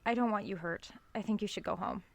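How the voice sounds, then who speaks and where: An earnest college girl, acted by a woman with a medium-pitched young female voice. She comes across as prim, awkward and exasperated, in audio drama dialogue. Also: fast, vocal fry, lightly acted. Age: young adult.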